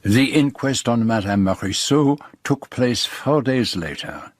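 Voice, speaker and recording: Casting: genteel Belgian sleuth, French-speaking accent, slightly husky, precise and self-assured, radio drama. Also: male voice, mid-range voice, expressive, measured pace. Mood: measured narrating. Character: courteous, self-assured foreign detective, older dapper man. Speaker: lead detective and narrator. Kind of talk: radio drama acting and narration.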